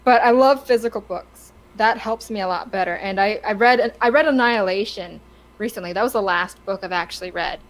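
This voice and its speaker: A woman's voice: medium pitch, fairly quick, poor audio. A woman chatting casually and giving her opinions on a podcast, stammering and restarting her sentences often. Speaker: podcast co-host.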